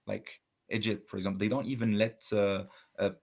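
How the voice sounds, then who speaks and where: A man from Switzerland talking as a guest in a casual debate conversation, halting and searching for words with many restarts. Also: on the low side, fairly even intonation, darkish tone.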